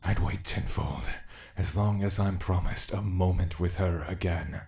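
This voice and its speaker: Casting: male actor as ageless immortal, very low creaky voice, half-whispered, patient, wistful, solemn audio drama, faint noise. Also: unhurried, a little mellow.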